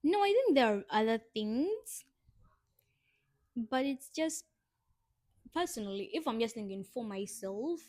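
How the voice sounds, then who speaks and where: A woman's voice: fairly high pitch, sing-song, a little slow, pauses often, darkish tone, no echo. A young woman co-hosting a casual podcast chat, reading prompts aloud and laughing often. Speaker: podcast co-host.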